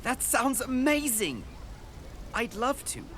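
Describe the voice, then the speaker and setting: A man's voice: extremely high pitch, big pitch swings, takes it slowly, sharp tone, heavily acted. Man playing an earnest boy traveler, noisy ambience. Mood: friendly, eager. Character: earnest, wonder-struck boy recording a journey for his sister. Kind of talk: radio drama narration and dialogue. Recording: noisy outdoor ambience behind the voice.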